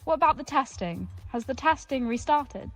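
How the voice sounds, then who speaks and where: A woman's voice: high voice, lively intonation, moderate pace, fairly bright tone, faint music behind. A young woman playing a defiant, idealistic eighteen-year-old in an audio drama. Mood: shocked, defiant. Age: young adult. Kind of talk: dystopian audio drama acting.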